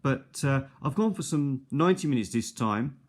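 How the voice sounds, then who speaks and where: A man's voice: medium pitch, expressive, normal speed, a little mellow. A middle-aged man giving an unscripted, casual show-and-tell in a hesitant, rambling, conversational way. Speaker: video host. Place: indoors at a kitchen table. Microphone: handheld or nearby tablet's built-in mic.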